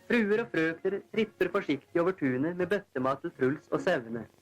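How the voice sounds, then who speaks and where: A man's voice: fairly high pitch, animated, rough recording. A man narrates a 1940s newsreel. His delivery is formal and declamatory, read from a script. It sounds like a vintage film soundtrack with music faintly behind him. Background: newsreel background music.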